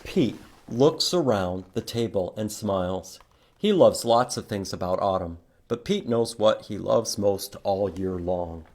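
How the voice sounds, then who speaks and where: A man hosting a children's storytime, reading a picture book and teaching letters in simple, expressive language with questions for young listeners. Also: lowish voice, unhurried. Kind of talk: children's storytime read-aloud and letter lesson.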